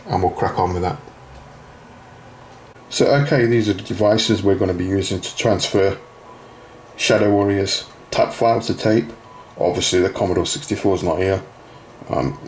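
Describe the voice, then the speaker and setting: Male tutorial host, low register, plain informal step-by-step delivery, some background noise. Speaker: video host demonstrating a retro computer repair. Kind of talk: informal hands-on tutorial narration.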